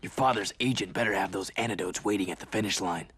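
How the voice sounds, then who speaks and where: A man's voice: deep voice, fast, a bit bright, slightly rough. A man playing a stoic, brooding young action hero in a video game, terse and serious. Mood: grave.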